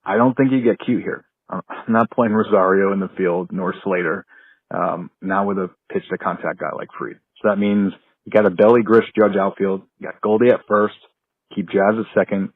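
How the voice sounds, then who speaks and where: A man's voice: low-pitched, steady tone, moderate pace, husky, no room sound. An American man leaving a casual, analytical sports fan's voicemail over the phone. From North Carolina. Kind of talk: casual tactical fan analysis. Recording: dry, tinny phone voicemail.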